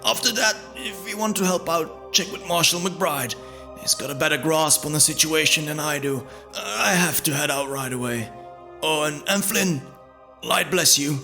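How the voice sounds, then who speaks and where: A man's voice: fairly high pitch, normal speed, pitched above the performer's own voice, close to an everyday voice. A man voicing a worried, kindly monk healer in a fantasy audio drama, speaking earnestly and with urgency. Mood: urgent, insistent. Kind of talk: audio drama character acting. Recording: music bed under the voice.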